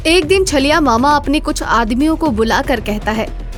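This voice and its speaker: A woman's voice: sits high, lively intonation, normal speed, faint music behind. A woman narrating a scripted moral story as the storyteller.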